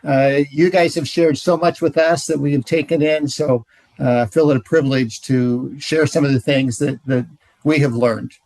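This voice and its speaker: A man in his sixties, a marriage ministry director, sharing his experience on a video call with frequent pauses and fillers. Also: a little high, steady tone, a little mellow, no echo. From Central California. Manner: hesitant, many fillers.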